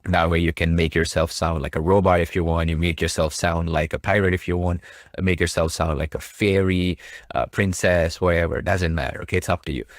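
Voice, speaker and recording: Deep-voiced man giving a software tutorial. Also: steady tone, brisk, dry recording, studio quality. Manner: repetitive, explanatory, often checking with "right?" and "okay?". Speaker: tutorial presenter. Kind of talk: casual software tutorial narration.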